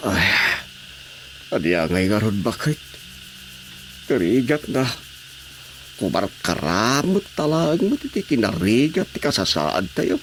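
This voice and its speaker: Reassuring troubled husband, man, lively intonation, radio drama. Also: lowish voice, slow, long pauses. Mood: weary, reassuring. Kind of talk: Ilocano radio drama acting. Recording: faint background noise with insects.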